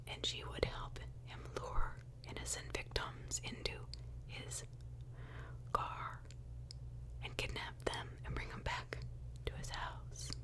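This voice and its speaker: A woman whispering a true crime story in ASMR style, in a deliberate, conversational way.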